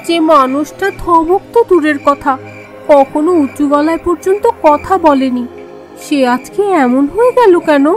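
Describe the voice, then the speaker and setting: An anxious young-sounding village wife, voiced by a woman, speaks in a high, plaintive voice. She sounds alarmed and pleading, as in a horror cartoon. Music runs behind her words. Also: unhurried. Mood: suspicious, fearful. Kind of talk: animated horror story acting.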